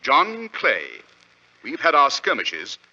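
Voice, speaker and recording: A man acting a brilliant, self-assured detective in a 1940s radio drama, explaining his deductions in a crisp, theatrical manner. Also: on the high side, wildly swooping pitch, takes it slowly, bright, forceful tone, exaggerated voice. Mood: confident, explanatory. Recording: dull, lo-fi vintage radio recording.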